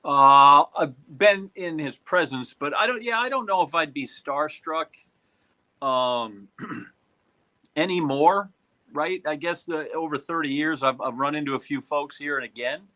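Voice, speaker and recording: Mature American male sports veteran, bright forceful tone, halting, meandering podcast recollections. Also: a little high. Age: middle-aged or older. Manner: halting, rambling, conversational. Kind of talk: casual reminiscing chat.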